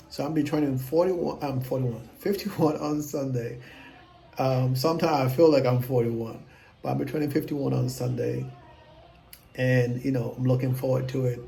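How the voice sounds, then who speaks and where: A man's voice: mid-range voice, creaky, warm tone. A man in his fifties, talking casually to camera as an investing vlogger, blunt, self-assured and rambling. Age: 50s.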